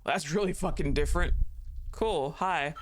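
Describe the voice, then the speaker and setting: A man's voice: a little high, moderate pace, a little hiss or hum. A man streaming a horror game live, chatting casually, cursing freely and talking back to the characters on screen. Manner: casual, joking, swears freely. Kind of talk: live gameplay commentary.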